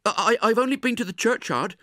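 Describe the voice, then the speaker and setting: Voiced by a man, a timid small boy answers in a high, hesitant voice that stammers when he is frightened. The sound is dry and close. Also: big pitch swings, moderate pace, fairly bright tone, dry recording, exaggerated voice.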